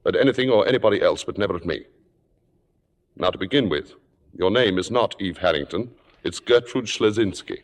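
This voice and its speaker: An actor playing a suave, controlling theater critic in an old radio drama, with urbane, sardonic and precise phrasing. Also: male voice, low-pitched, a little fast, close to an everyday voice. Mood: cold, menacing. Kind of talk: 1950s radio drama acting and narration. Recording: reverberant old-time radio broadcast recording.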